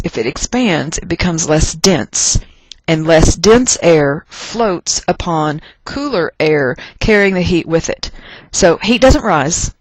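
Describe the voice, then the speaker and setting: An American teacher from Texas with a mid-pitched voice gives a chemistry lecture in a folksy, conversational way. Key points get deliberate stress and repetition, with joking asides along the way. The sound is dry, with almost no room echo. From Texas, United States. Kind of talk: lecture narrated over class notes.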